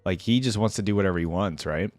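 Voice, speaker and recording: A man with a lowish voice hosts a casual game reaction video. He sounds conversational and easygoing, lightly amused, recorded close and dry on the microphone. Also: fast talker, a little mellow, no room sound.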